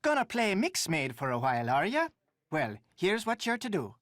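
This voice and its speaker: An actor playing a brisk, folksy Irish barkeep in a video game, giving polite instructions. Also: male voice, sits high, wildly swooping pitch, a bit bright, no echo, clean studio sound, full character voice. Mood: brisk, instructive. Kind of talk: video game character dialogue.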